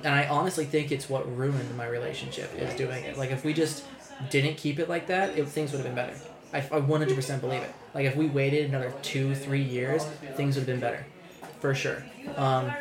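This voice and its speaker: Picture a young man hosting a casual podcast. He rambles and restarts himself a lot and swears freely. His mid-pitched voice carries a noticeable room echo. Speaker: podcast host.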